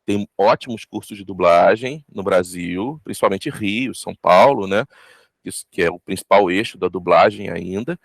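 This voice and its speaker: Low-voiced Brazilian man explaining casually. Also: normal speed, vocal fry, no room sound. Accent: Brazilian Portuguese. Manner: explanatory, emphatic repetition. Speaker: voice actor giving career advice. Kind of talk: casual interview advice.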